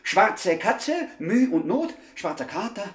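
A man's voice: high-pitched, very animated, takes it slowly, exaggerated voice. A man playing a nervous, superstitious, stammering gangster henchman in a comic radio crime play, flustered and repeating himself. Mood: panicked, superstitious. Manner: flustered, hesitant, repeats words anxiously. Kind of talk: 1960s German radio comedy crime play. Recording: roomy 1960s radio studio recording.

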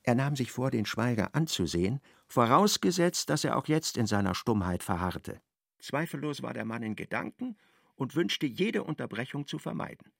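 Gravelly male radio play narrator. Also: mid-range voice, big pitch swings, no room sound, clean studio sound. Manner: measured, ironic storytelling.

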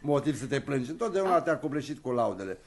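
An actor playing a touchy, self-deluding stage director in a radio comedy, excitable and fussy. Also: male voice, medium pitch, slight background noise. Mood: wounded, defensive. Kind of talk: Romanian radio comedy play acting.

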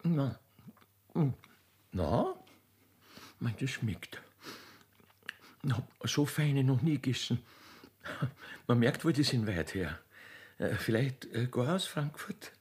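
A man's voice: mid-range voice, animated, slow, long pauses, pitched above the performer's own voice. A man voicing a naive young Austrian country girl, chatting in simple, hesitant dialect in a comic radio play. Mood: naive wonder.

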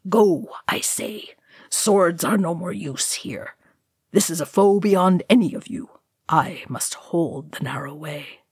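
A stern old wizard, played by a woman declaims slowly and grimly in a storybook tale. The delivery is commanding and defiant, recorded close and dry. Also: on the low side, a little mellow, slightly rough, no room sound, heavily acted. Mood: stern, urgent. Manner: grim, drawn-out declamation. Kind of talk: dramatic storybook character reading.

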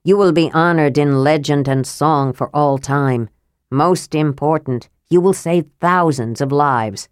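A man's voice: fairly high pitch, a little slow, clear, resonant tone, no echo, studio quality, lightly acted. A woman narrator playing a proud, earnest male knight in a fantasy audiobook, urgent and formal. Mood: earnest persuasion.

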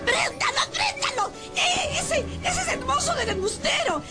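Radio drama: scolding old landlady, piercingly high voice, indignant, over loud music. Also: very animated, unhurried, heavily acted. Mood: outraged. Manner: scolding, shrill outcries. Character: indignant old landlady demanding her rent. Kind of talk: scripted radio drama acting.

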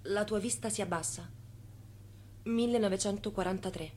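An actress playing an estranged former wife in a radio drama, restrained and melancholy, putting short, measured, probing questions. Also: female voice, medium pitch, a little mellow, slight background noise, lightly acted.

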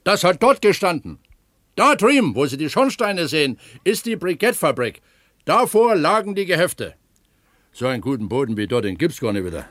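An actor playing an eager, earnest pump attendant at an opencast mine in a naturalistic radio drama, explaining things like a tour guide. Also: male voice, a little high, big pitch swings, moderate pace, a bit bright, dry recording. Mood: matter-of-fact, proud.

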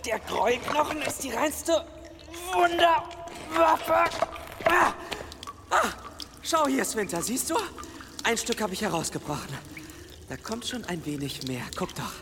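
A man's voice: very high voice, animated, a little slow, pauses often, heavily acted. An actor playing a complaining, reckless boy in a radio play, sometimes straining with effort. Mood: eager, straining. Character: grumbling, reckless, thirsty boy from the seaside. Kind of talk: dramatic radio play acting. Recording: reverberant cave effect, noisy water sounds.

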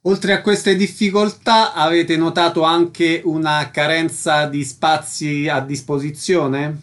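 A man's voice: on the high side, level tone, takes it slowly, a bit bright. A man hosting a radio interview, presenting guests and events and asking short questions.

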